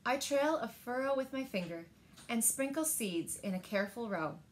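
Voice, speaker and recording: A woman in her thirties reading a picture book aloud to children in a gentle, deliberate manner, pausing to ask them questions. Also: on the high side, lively intonation, slight background noise. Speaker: library storyteller. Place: indoors, small living room by windows.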